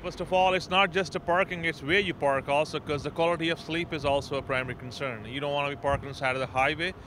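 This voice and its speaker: A man's voice: a little high, fairly even intonation, fast. A man, a truck driver, speaking earnestly with some hesitations in an outdoor interview at a rest area. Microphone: handheld mic. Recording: outdoor interview with traffic noise.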